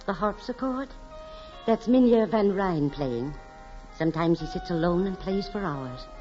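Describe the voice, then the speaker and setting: A foreboding housekeeper in a 1940s radio drama. She speaks in a deep female register, grave and deliberate, like someone passing on dark gossip. The sound is dull and dated. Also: expressive, soft background music. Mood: polite, knowing.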